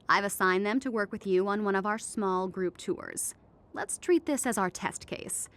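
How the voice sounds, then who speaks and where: An actress as a measured, businesslike female manager. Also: mid-range voice, a bit bright, natural voice. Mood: diplomatic, measured. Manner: measured, diplomatic, corporate. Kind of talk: radio play acting.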